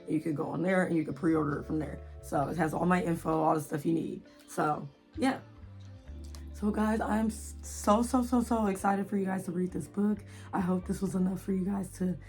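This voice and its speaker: A woman in her twenties chatting casually and excitedly, with giggles, as a book vlogger. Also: fairly low pitch. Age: 20s. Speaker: indie author and book vlogger. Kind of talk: casual chatty video blog, book promotion.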